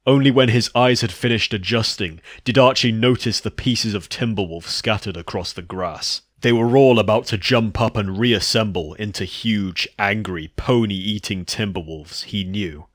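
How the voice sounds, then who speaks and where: Male narrator for fiction reading: fairly low voice, close and very dry sound, scripted delivery. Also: normal speed, few pauses, dry recording.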